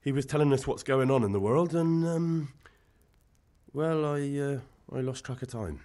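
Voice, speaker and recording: An actor playing a young medieval peasant lad in a video game, casual and a little defensive. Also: male voice, mid-range voice, normal speed, dark tone, lightly acted. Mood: evasive. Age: young adult. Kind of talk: dramatic video game dialogue.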